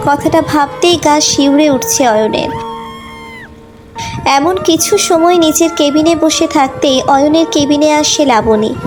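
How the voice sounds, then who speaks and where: A woman storyteller reading a scripted romantic story as narration. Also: sits high. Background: background music.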